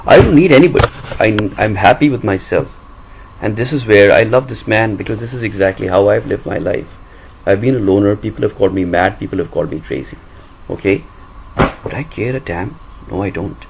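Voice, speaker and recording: A man's voice: fairly low pitch, fairly quick, vocal fry, background noise. A man from Pakistan with a Pakistani English accent, whose first language is Urdu, speaking bluntly and defiantly in a personal monologue. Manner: blunt, combative, repetitive emphasis. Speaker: voice-over reader and vlogger.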